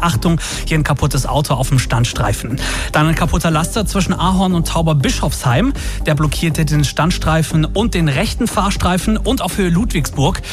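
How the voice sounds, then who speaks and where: A man presenting an upbeat traffic report on the radio. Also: fairly high pitch. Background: music bed.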